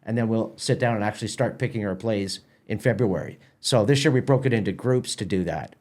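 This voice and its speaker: A man's voice: on the low side, fairly quick, darkish tone. A man chatting as an interview guest about his work, often repeating words as he goes. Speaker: guest, theatre festival organizer. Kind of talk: casual podcast interview.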